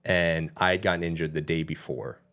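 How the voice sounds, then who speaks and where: A young man with a very low, deep voice, co-hosting a relaxed podcast. His first language is Danish, and he speaks in a loose, rambling way, filling gaps with "you know.". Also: fairly quick. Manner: loose and talkative, lots of "you know" fillers. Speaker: podcast co-host. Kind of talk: casual chat.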